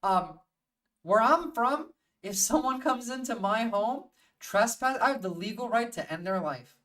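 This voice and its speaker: A woman's voice: a little high, animated, a little slow, a bit bright, distant mic. A woman hosting a livestream in casual, opinionated chat, swearing freely and bluntly as she thinks aloud. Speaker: livestream host.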